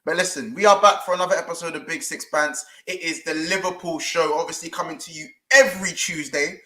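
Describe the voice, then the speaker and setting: A man hosting a casual football show, animated and slangy, often repeating himself. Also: a little high, brisk, reverberant. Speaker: host.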